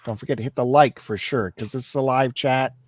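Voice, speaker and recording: A man's voice: medium pitch, a little fast, dry recording. A man hosting a casual live-stream chat, upbeat and teasing what is coming up on the show. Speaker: host of a collectors' live chat.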